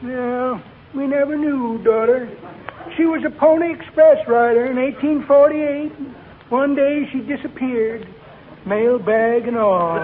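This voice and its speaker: High-pitched folksy old geezer. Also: male voice. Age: older. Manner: folksy rustic drawl. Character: spry, folksy, eccentric old-timer geezer. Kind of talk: 1940s radio comedy acting. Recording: tinny old radio recording, noisy audience.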